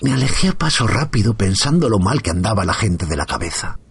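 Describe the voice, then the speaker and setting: A man from narrating a first-person horror story in a slow, hushed, suspenseful manner. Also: on the low side, big pitch swings, creaky, slightly rough.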